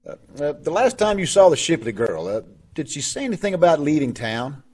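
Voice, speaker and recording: A police officer, played by a man, with a fairly high voice. He is polite, matter-of-fact and a little halting, in 1950s radio drama style. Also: sing-song, a little mellow, breathy edge. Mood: inquiring. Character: polite, hesitant male police officer, the sergeant's partner.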